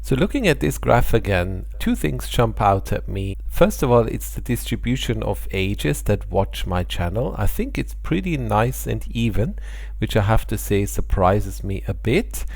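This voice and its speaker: Low, gravelly man with Austrian German accent. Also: sing-song, measured pace, darkish tone, no echo. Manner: friendly, explanatory, occasional small laughs. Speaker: airline pilot and channel host. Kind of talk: casual talk to camera about channel statistics.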